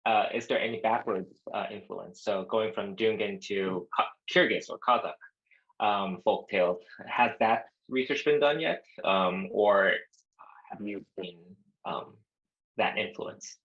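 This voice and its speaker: A man from the audience asking a question in an online lecture, hesitant and halting. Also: fairly low pitch, highs cut off. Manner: hesitant, halting, many fillers. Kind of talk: online academic Q&A. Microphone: video call.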